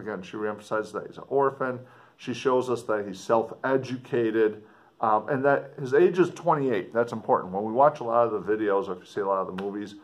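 A middle-aged man with a mid-pitched voice reads aloud in a teacher's manner. His delivery is expressive and measured, with deliberate pauses and emphasis on key phrases. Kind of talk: expressive book reading with teaching commentary.

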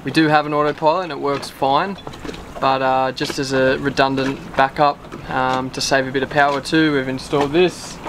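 A man in his thirties hosting a casual sailboat tour, explaining things in a relaxed way with plenty of fillers, recorded outdoors on deck. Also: medium pitch, moderate pace, noisy background. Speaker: sailing vlog host. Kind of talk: casual boat tour walkthrough.

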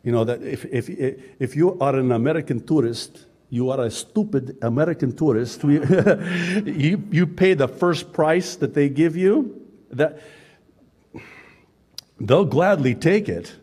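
A man's voice: on the high side, expressive, unhurried, a little mellow, husky. Chuckling, conversational male pastor. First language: Arabic. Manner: conversational, chuckling asides, frequent "uh" fillers. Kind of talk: sermon.

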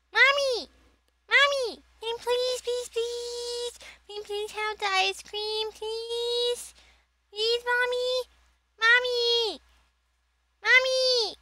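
A woman's voice: very high-pitched, heavy background noise. A woman voicing a whining little child who pleads insistently with a parent, repeating words over and over.

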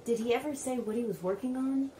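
A woman's voice: on the high side, quick pace, mellow, husky. An actress playing an inquisitive female documentary interviewer, probing casually in a naturalistic audio drama. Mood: curious.

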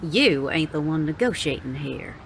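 Tough frontier madam played by a woman, low-pitched, cutting and dry Western drawl, audio Western. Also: animated, moderate pace, creaky, a little hiss or hum. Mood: hostile, cutting. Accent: American Western drawl. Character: tough, prickly, foul-mouthed Wild West saloon madam. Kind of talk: audio drama acting.

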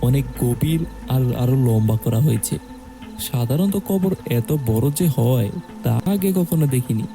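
A young man narrating a horror story in a steady, measured way. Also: mid-range voice, over music.

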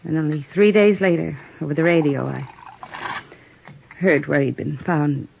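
An actress playing a weary, bitter widow in a halting, emotional, world-weary manner, in the style of 1950s radio drama. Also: female voice, deep voice, steady tone, creaky, slight background noise. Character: weary, bitter widow fighting back grief. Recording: dull old radio recording.

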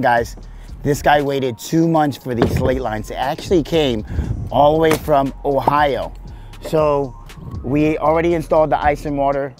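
A man's voice: mid-range voice, a little mellow. A man in his forties hosting a casual roofing vlog on site, upbeat and salesy, talking to viewers as "guys" while standing on a house roof. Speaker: roofing contractor and channel host. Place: outdoors on a house roof and front yard. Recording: background music under the voice.

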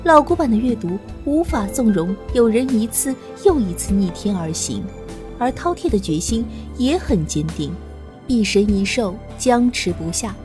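A woman's voice: medium pitch, animated, normal speed. A woman narrating a novel as an audiobook reader, in a scripted storytelling style. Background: faint music.

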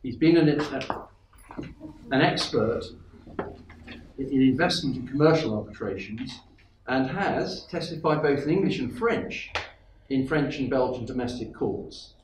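A middle-aged man moderating a panel, introducing the speakers in a measured way with frequent fillers and restarts. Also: mid-range voice, animated. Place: panelled conference room. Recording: echoey room, distant mic, faint hiss.

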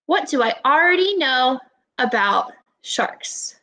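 Woman, 20s, primary teacher on webcam. High-pitched, forceful delivery, clear instructions and encouragement aimed at young pupils. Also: lively intonation, takes it slowly, poor audio. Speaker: elementary school teacher. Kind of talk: children's read-aloud video lesson, instructional. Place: small indoor room at home. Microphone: webcam or laptop microphone, video call recording.

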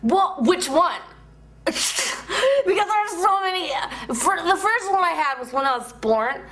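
A young woman playing a nerdy, excitable UFO believer in a comedy sketch, earnest and halting. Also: very high voice, heavily acted. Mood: giddy, earnest. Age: young adult. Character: nerdy, excitable female UFO enthusiast claiming alien abductions.

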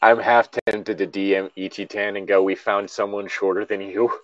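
A man's voice: lowish voice, flat delivery, normal speed, highs cut off, a little hiss or hum. A man co-hosting a casual read-aloud of a story, with blunt, exasperated, profane commentary. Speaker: co-host reading a story aloud with running commentary.

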